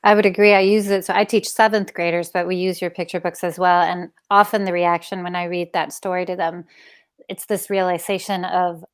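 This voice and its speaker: A woman's voice: fairly low pitch, flat delivery, brisk, clean tone, dry recording. A woman hosting a conversational interview, talking in an enthusiastic, emphatic way like a teacher. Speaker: interviewer and host, middle school teacher.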